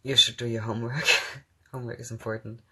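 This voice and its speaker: A young man from Germany, a native German speaker with a mixed English accent, chatting casually on a phone live stream as he answers viewer comments with lots of soft giggles and hesitant fillers. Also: mid-range voice, level tone, fairly quick, breathy edge. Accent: mixed English, not German-sounding.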